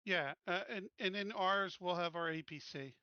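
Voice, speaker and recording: A man's voice: sits high, normal speed, smooth, dry recording, muffled recording. A man taking part in a tabletop roleplaying game as a player, talking casually about the game.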